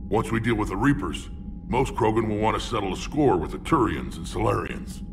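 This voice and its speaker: Gravelly deep alien warlord. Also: male voice, lively intonation, brisk, over music. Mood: gruff. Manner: blunt, dry and sardonic. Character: hulking gruff male alien warlord, blunt and battle-hardened. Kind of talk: video game voice acting.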